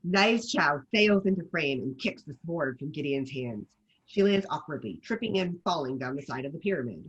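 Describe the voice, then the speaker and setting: Animated narrator reading stage directions. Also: medium pitch, moderate pace, dull audio. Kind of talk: scripted narration for a table read.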